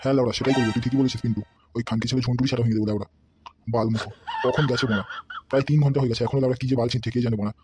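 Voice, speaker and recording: Man voicing a blustering, rapid-fire cartoon boss. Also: mid-range voice, steady tone, music in the background, close to an everyday voice. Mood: grumbling, irate. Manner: blustering, threatening, constantly cursing. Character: bullying, hot-tempered male local politician boss, a father. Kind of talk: comedy cartoon dialogue.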